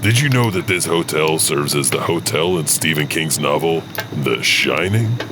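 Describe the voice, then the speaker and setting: A man's voice: extremely low pitch, animated, normal speed, background noise. A man playing a folksy, rambling janitor who hesitantly hosts a spooky anthology of tales. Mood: sheepish, welcoming. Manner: chatty, digressive, hesitant. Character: rambling, folksy janitor storyteller at old hotel. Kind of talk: hosted anthology narration.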